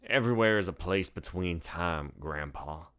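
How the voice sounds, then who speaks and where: A man's voice: sits low, moderate pace, pushed and bright, clean tone. A man voicing a cocky, teasing young college tourist who is drunk and slurring, in a storybook. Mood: dismissive.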